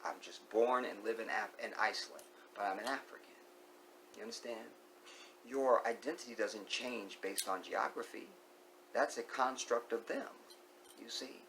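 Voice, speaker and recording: Gravelly-voiced man giving emphatic commentary. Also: fairly low pitch, normal speed, slight background noise, rough recording. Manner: emphatic, repeats key phrases, sinks to a hushed whisper; rhetorical questions to the viewer. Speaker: vlogger. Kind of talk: opinionated monologue commentary.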